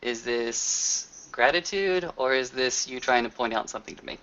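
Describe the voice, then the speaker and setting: Guy roleplaying a suspicious werewolf man, blunt questions, hard bright voice, lo-fi rec. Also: medium pitch, expressive, moderate pace, smooth. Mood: probing. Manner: blunt, interrogating. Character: gruff, suspicious male werewolf questioning a returning kinswoman. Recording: dull lo-fi voice recording.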